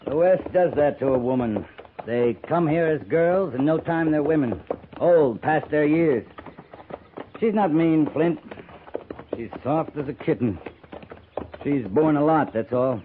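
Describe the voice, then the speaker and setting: An actor playing a firm, plain-spoken cavalry captain, stern but compassionate, terse and measured in a 1950s radio Western. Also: male voice, fairly high pitch, lively intonation. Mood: reflective, plain. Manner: terse, measured, matter-of-fact. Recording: noisy, narrow-band 1950s radio broadcast.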